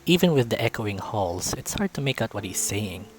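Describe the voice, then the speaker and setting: A man's voice: fairly low pitch, very animated, moderate pace, slight background noise. A man reading visual novel narration aloud in a storytelling style. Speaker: visual novel narrator, let's-play reader.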